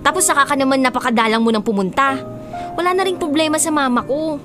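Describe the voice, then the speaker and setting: A woman's voice: high-pitched, fast talker, natural voice. A woman playing an earnest, hurt young girlfriend in a Filipino radio drama. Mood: hurt, pleading. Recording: music bed under the voice.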